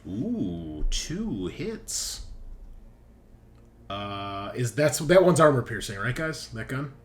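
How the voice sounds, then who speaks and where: Man refereeing a tabletop game, swinging pitch. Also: very animated, takes it slowly, slight background noise. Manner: informal, explains rules step by step. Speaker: game master of a tabletop roleplaying game. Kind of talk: casual game refereeing and narration.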